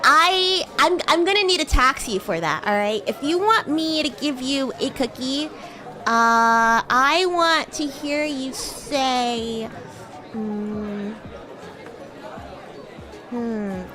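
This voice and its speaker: A woman's voice: high voice, expressive, takes it slowly, pushed and bright, soft background music, some chatter behind, full character voice. A woman voicing a bratty, flustered young female demon classmate in improvised livestream roleplay, teasing and stammering as she acts haughty and defensive. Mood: bratty, demanding. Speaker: VTuber streamer.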